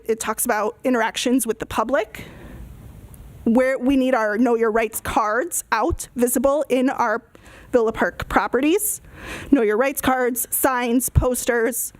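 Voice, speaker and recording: Lady w a highish voice giving public comment, earnest, repeats short phrases for emphasis. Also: no echo. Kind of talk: public meeting speech. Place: public meeting room.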